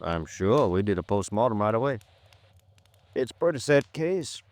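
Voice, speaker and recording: An actor playing an overworked, laconic American police lieutenant with colloquial, clipped talk, in 1950s radio drama style. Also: male voice, on the low side, very animated, normal speed, a little mellow. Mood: dry, matter-of-fact.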